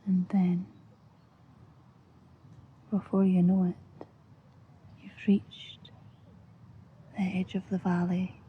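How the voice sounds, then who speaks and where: A Scottish woman speaks softly and breathily, often in a whisper, gently and soothingly guiding an ASMR visualisation with long pauses. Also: fairly low pitch, monotone, slightly rough. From Scotland. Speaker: ASMR host. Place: outdoors, lying on grass. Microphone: close-up. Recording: muffled lo-fi outdoor recording, faint noise.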